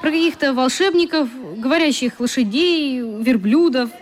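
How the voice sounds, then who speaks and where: A high-pitched child acted by a woman, inquisitive and pushy. The delivery is insistent and easily indignant, in radio play style. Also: moderate pace, some chatter behind, natural voice. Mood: coaxing, insistent.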